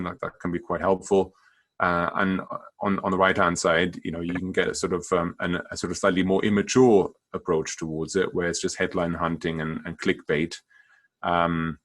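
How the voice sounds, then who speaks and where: Middle-aged German-accented doctor, very low pitch, giving a halting, stop-start talk. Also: male voice, fairly bright tone. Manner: halting, many restarts and repeated words. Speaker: palliative care doctor. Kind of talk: conference talk with slides.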